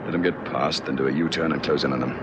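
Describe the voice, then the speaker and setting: An actor playing a gruff, commanding detective sergeant in a vintage radio drama. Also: male voice, a bass voice, steady tone, fast talker. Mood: tense, controlled. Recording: old radio recording, vehicle noise.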